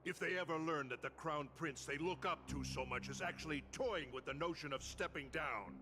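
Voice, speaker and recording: A man playing a proud, blunt old warrior in a video game, speaking in a gruff, commanding and disdainful manner. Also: a little high, moderate pace, close to an everyday voice. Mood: indignant, reproachful. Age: older. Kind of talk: dramatic video game voice acting. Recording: game music under the voice.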